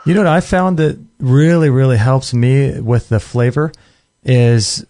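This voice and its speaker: A man's voice: mid-range voice, steady tone, measured pace, dark tone, no echo. A man chatting casually as an interview host, reacting to his guest and adding his own experience.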